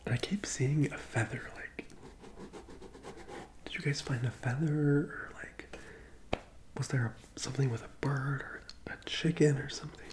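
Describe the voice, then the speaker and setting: A man doing a soft-spoken ASMR role-play as a gentle psychic medium, in a breathy, hesitant whisper close to the microphone. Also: mid-range voice, fairly even intonation, normal speed, pauses often. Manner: hesitant, gentle, soothing delivery.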